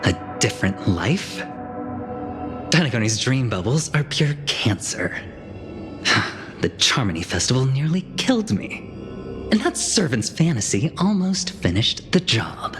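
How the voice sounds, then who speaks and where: Grumbly jaded gambler in a game, normal pitch, sarcastic, music going behind. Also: male voice, big pitch swings, a little slow. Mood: sardonic. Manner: sardonic. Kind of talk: game voice acting, conversational.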